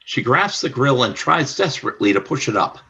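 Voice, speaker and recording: A man narrating a scripted radio play as announcer, in a formal, deliberate storytelling manner. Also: medium pitch, very animated, pushed and bright, breathy edge, highs cut off.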